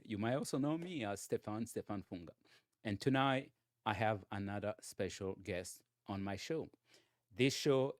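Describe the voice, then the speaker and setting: A man hosting an interview show in a conversational way, often halting and repeating his phrases. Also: fairly low pitch, dry recording, studio quality. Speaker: talk show host interviewing a guest.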